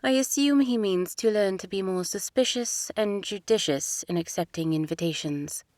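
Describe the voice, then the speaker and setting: A woman voicing a proud young princess who speaks in a crisp, formal way. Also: mid-range voice, moderate pace, a little mellow, dry recording, close to an everyday voice. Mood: reserved.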